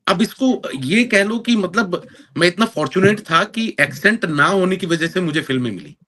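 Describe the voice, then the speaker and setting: A man's voice: sits high, lively intonation, moderate pace, sharp tone, slight background noise. A man in his thirties who is an actor, answering interview questions earnestly with an Indian accent, mixing in English phrases and using many fillers. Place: lives in London.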